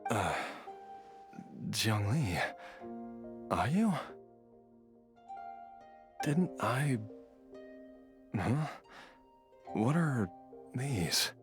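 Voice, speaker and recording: An actor playing a weary father brought back from death, speaking in a slow, hesitant, wistful way, in a game with faint music behind. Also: medium pitch, very animated. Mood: confused. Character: weary revived father clinging to life. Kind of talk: game voice acting.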